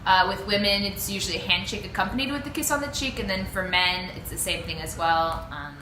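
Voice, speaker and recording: A woman's voice: mid-range voice, a little fast, bright, forceful tone. A young woman from Peru answering interview questions in a chatty way, full of ums and likes. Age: young adult. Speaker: student interviewee. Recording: echoey room with clear background noise.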